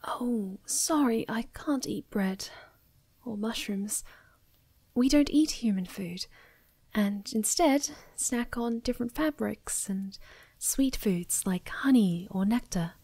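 Girl voice actor doing a ditzy gentle moth creature for asmr, kinda high, slow, breathy and stammery, whispery. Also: lively intonation, no room sound, heavily acted. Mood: shy, hungry. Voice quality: soft, breathy, often slipping into whispers. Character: sweet, ditzy, shy young female moth creature. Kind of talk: soft-spoken ASMR roleplay.

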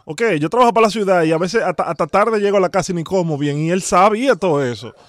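High-voiced Dominican man, plaintive and halting. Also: lively intonation, no echo, studio quality. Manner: plaintive, halting, repeats words. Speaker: prank-call show host. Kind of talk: prank phone call, posing as a wronged husband. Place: indoor home studio. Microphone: close studio microphone.

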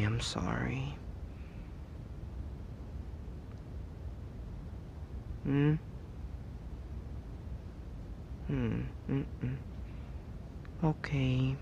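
A man voicing a caring but teasing teenage boy best friend in an ASMR roleplay, hesitant and soft-hearted while feigning reluctance. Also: medium pitch, vocal fry, slight background noise. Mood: teasing, reluctantly kind.

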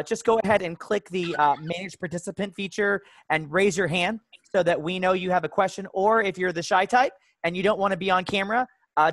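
A man's voice: high-pitched, a bit bright, no room sound. Man moderating, dry sound. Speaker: moderator of online session. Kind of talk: informal webinar housekeeping. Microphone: video call.

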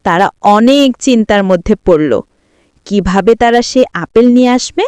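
A woman's voice: mid-range voice, measured pace, darkish tone, clean tone, no room sound. A woman, narrating a scripted fairy tale for children as a storyteller.

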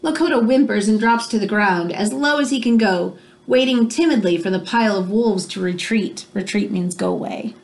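A woman in her thirties reads a picture book aloud to children in an expressive, teacherly way, pausing to explain things. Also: mid-range voice. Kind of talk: read-aloud storytelling with explanatory asides for children. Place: small room indoors at home. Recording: home recording with background noise.

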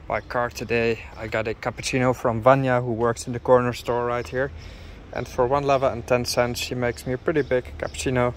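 A man narrating a casual travel vlog, explaining as he goes with many pauses, filmed outdoors on a handheld camera. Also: mid-range voice, slight background noise. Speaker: travel vlogger. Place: outdoors on village streets and in a parked car.

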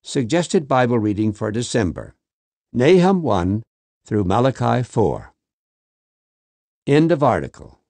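A man reads a scripted religious article with a mellow, warm tone. His pitch rises and falls in a lively way. The recording is dry and close, with no room sound. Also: unhurried, long pauses, no echo. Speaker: magazine article reader.

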